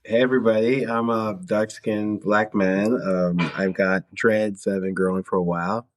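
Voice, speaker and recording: Low-pitched man, casual guest. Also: steady tone, normal speed, no room sound. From Toronto, Canada. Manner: conversational, reflective, often checks in with the listener. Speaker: podcast guest, singer-songwriter. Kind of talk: casual interview conversation.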